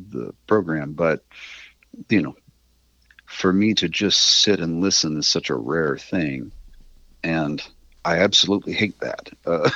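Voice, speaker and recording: A man's voice: a bass voice, fairly bright tone, dry recording, highs cut off. A middle-aged American man reminiscing in a casual, rambling, conversational way as an interview guest. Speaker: interview guest, writer and musician.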